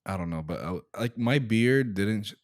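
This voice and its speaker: A man's voice: lowish voice, lively intonation, moderate pace, no room sound, studio quality. A young man from the Middle East with an American accent, hosting a casual podcast chat and laughing often as he trails off mid-sentence. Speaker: podcast host.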